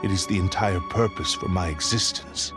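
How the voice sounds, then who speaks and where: An actor playing a remorseful, devoted sentient sword spirit in a video game, speaking in a solemn, formal way. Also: male voice, deep voice, huge pitch swings, normal speed. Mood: solemn, pleading. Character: remorseful sentient cursed blade spirit, male, grave and devoted. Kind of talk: video game story acting. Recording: music behind the voice.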